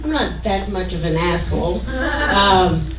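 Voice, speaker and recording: A woman in her late forties from Sri Lanka performs a stand-up comedy routine with a halting delivery, leaving pauses after punchlines. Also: mid-range voice, lively intonation, crowd noise. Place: indoor comedy club stage. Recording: roomy, distant mic, noisy club recording.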